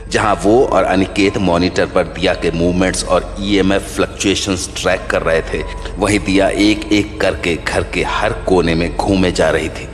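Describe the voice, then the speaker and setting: Deep reverberant male horror narrator. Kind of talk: scripted horror story narration. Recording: long reverb over faint music.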